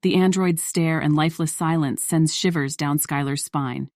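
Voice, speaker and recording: A woman's voice: low-pitched, fairly even intonation, normal speed, a little mellow, no echo, clean studio sound. A woman reading scripted story narration in the role of narrator.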